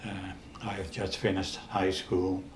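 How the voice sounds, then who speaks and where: A man in his eighties with a Dutch accent, telling stories in a casual interview, halting with many restarts and pauses. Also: low-pitched, little pitch movement, roomy sound, slight background noise. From the Netherlands. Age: 80s. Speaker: interview guest.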